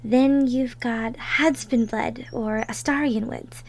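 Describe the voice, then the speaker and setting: A woman playing a gentle, cheerful young cottagecore woman from the woods in an intimate roleplay audio, soft-spoken, giggly and sweet. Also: a little high, fairly even intonation, takes it slowly. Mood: chatty, enthusiastic. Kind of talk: roleplay audio drama, intimate one-sided conversation.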